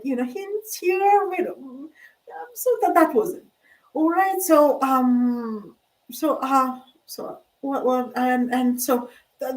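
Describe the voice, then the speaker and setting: A woman's voice: high-pitched, distant mic, low-quality recording. An older woman vlogger talking in a rambling, hesitant way, full of fillers and restarts. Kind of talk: rambling casual monologue.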